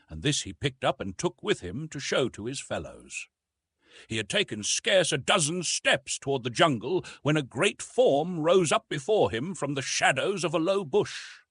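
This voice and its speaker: A man narrating a classic adventure novel as an audiobook, with expressive, dramatic storytelling delivery. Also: mid-range voice, breathy edge, no echo, clean studio sound.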